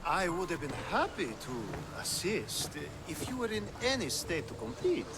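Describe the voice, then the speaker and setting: An actor playing a flirtatious, decadent nobleman in a video game, teasing with drawn-out innuendo. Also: high-pitched, sing-song. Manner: teasing, suggestive, drawn-out delivery. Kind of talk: video game cutscene acting. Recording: clear background ambience noise.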